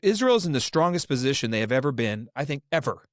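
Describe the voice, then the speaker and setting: A man's voice: medium pitch, very animated, quick pace, no room sound, studio quality. A man hosting a political podcast, opinionated and rambling, restarting his sentences often. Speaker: podcast host. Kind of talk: casual political discussion.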